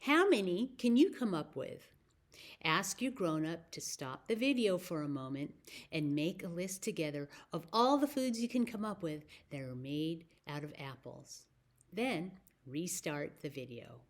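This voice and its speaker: Slow-paced woman hosting children's story time. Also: on the low side, lively intonation. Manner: simple, direct prompts to child listeners, with pauses for answers. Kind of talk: storytelling and rhymes for young children.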